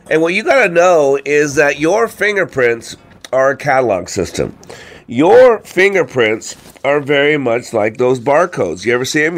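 A man with a congested voice hosting a radio show, talking informally and motivationally with frequent rhetorical questions. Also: medium pitch. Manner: asks rhetorical questions, frequent "you know" and "right?". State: congested with a cold or allergies. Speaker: radio show host and trainer.

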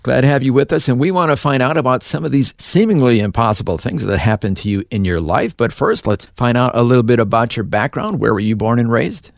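A man hosting a faith radio interview, asking questions in a gentle, encouraging way. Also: medium pitch, brisk, vocal fry, few pauses, no echo, clean studio sound. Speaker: radio show host. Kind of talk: hosted interview.

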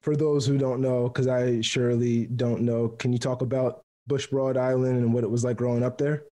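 A man's voice: medium pitch, little pitch movement, moderate pace, dark tone. A man working as an interviewer, asking questions in a conversational style.

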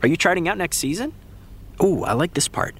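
A man's voice: high voice, animated, a little fast, breathy edge. A young American man acting as a laid-back, supportive friend in a fiction podcast, with casual, teasing, slangy delivery. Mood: enthusiastic. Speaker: voice actor. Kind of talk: naturalistic fiction podcast dialogue.

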